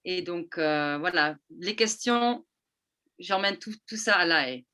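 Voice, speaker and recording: A woman guest in an online discussion, speaking in a friendly, slightly halting way. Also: on the low side, animated, sharp tone, clean tone. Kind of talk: casual discussion.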